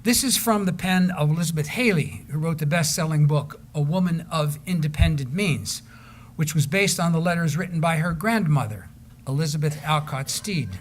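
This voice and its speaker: Male presenter, fairly high voice, formal scripted introductions, faint background noise. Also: moderate pace. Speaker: presenter introducing staged readings. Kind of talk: scripted narration to an audience.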